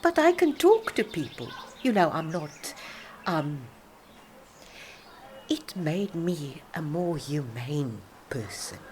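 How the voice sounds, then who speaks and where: An actress playing an older South African woman, a proud alcoholic who rambles haltingly and defensively through a radio-play monologue of reminiscence. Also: sits low, sing-song, darkish tone, slight background noise, exaggerated voice. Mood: wistful reminiscing.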